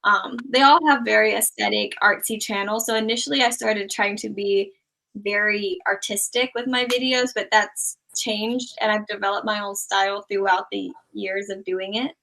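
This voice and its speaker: Young woman, raised in Thailand, casual rambly guest, vocal fry throughout. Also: medium pitch, normal speed, poor audio. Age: young adult. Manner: relaxed, rambling, many "like" and "um" fillers. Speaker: guest, small YouTuber. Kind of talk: casual interview chat.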